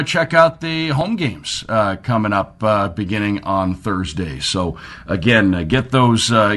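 A man's voice: medium pitch, a bit bright. Chatty man hosting a sports radio show. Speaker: sports radio show host and team broadcaster.

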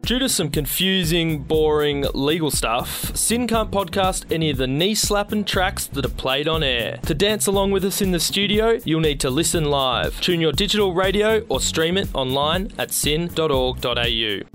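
A man's voice: a little high, lively intonation, normal speed, hardly any pauses, fairly bright tone, soft background music. A man reading a scripted, jokey station announcement.

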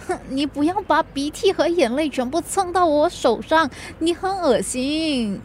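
A woman's voice: sits high, expressive, a little slow, a little hiss or hum. A woman acting in a radio drama as an emotional, pouty pregnant young wife. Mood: pouty, scolding. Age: young adult. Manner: tearful, pouting delivery.

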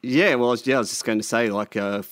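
A man's voice: mid-range voice, fast talker, a bit bright, dry recording, clean studio sound. An Australian man co-hosting a casual sports podcast, bantering loosely with many fillers. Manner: rambling, filler-heavy banter. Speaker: podcast co-host. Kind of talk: casual comedic sports chat.

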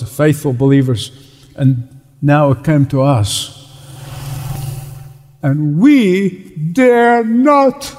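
A man from Egypt preaching a sermon, emphatic, repeating key phrases and slowing down to stress words. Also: sits high, darkish tone. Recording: long reverb of a large hall.

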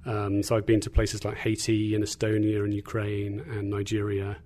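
English man, measured middle-aged teacher. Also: on the low side, completely flat delivery, a little mellow. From England. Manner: measured, articulate, with frequent "uh" and "um". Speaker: guest, Bible teacher. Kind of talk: casual interview chat.

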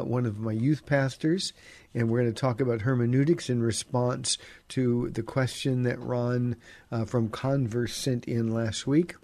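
A man hosting a call-in radio show as a pastor, giving Bible teaching in a relaxed, conversational way with frequent fillers. His voice sits deeper than usual because he is under the weather. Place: radio studio.

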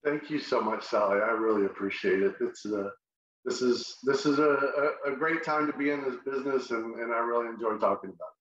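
A man's voice: medium pitch, fairly bright tone, echoey room, lo-fi sound. A middle-aged man with a Texan accent, a guest in a casual interview, friendly, often restarting his sentences. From Texas. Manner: friendly, halting with repeated restarts. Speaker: short-term rental host, guest.

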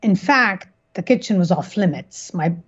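Woman from kuwait being interviewed, lowish voice, reflective, halting and rephrasing, bit lo-fi sound. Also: animated, slightly rough. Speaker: interview guest, cookbook author. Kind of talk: conversational interview answers.

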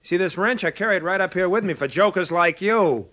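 An actor playing a tough, sneering, threatening truck driver in a 1940s radio crime drama. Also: male voice, high-pitched, brisk, bright, forceful tone. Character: tough, menacing male truck driver with wrench.

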